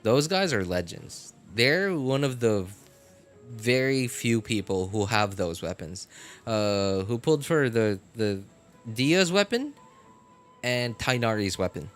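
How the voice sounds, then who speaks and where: A man talking casually on a game live stream, rambling with many fillers and getting animated about his opinions. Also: medium pitch, slow pace, soft background music. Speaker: gaming streamer.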